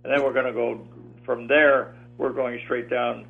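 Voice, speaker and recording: A man's voice: mid-range voice, little pitch movement, normal speed, creaky, faint music behind. Rambling 89-year-old man on a phone line. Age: 80s. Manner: rambling, repeats words, chatty. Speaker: guest. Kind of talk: casual phone interview. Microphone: telephone.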